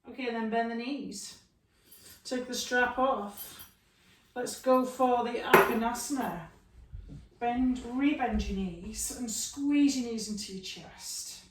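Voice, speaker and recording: A woman teaching a yoga class, giving slow, soothing guidance through stretches and a guided relaxation. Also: fairly high pitch. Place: room, recorded at a distance from the camera mic. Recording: echoey room, mic at a distance, faint hiss.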